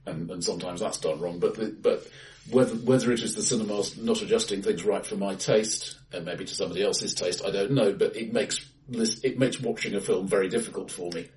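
A man with a lowish voice chats about films as a podcast host, measured and analytical with some hesitation. He sits in an echoey, bright-sounding room, some way from the mic. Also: far from the mic. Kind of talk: casual film discussion. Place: indoors, reverberant room, face to face.

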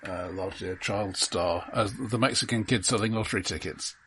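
A man co-hosting a podcast, talking casually about films in a measured, understated manner. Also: fairly low pitch, fairly bright tone, a little hiss or hum. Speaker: podcast co-host. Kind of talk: casual film discussion.

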